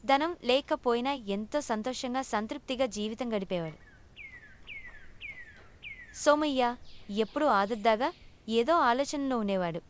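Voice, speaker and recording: A woman's voice: fairly high pitch, unhurried, long pauses, slight background noise. A woman narrating a scripted moral story for children as a storyteller.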